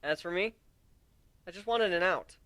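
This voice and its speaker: A man voicing an earnest, talkative, nerdy young male griffin in a story, animated and self-deprecating. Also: high voice, moderate pace, smooth, no room sound, voice pushed up. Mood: earnest, bitter.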